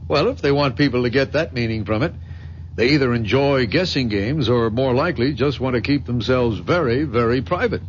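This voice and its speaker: An American actor playing a protective, wary, teasing young fiancé in a vintage radio drama, with wry, emphatic delivery. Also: male voice, mid-range voice, normal speed, close to an everyday voice. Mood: wry, suspicious. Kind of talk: 1970s radio drama acting. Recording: dull vintage radio, car noise. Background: car engine and road noise.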